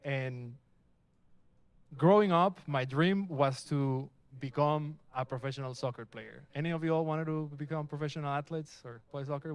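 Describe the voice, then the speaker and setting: A man's voice: medium pitch, clean tone, no room sound. A young adult man from Mexico gives a casual, upbeat talk at a live tech meetup, asking the audience questions as he goes. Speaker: meetup speaker. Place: event space with a live audience.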